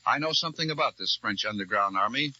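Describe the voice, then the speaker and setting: An American man reading scripted news as a formal, measured radio correspondent in a 1940s broadcast. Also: mid-range voice, animated, bright, forceful tone, no room sound. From United States. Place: radio newsroom. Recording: dull vintage 1940s radio broadcast recording.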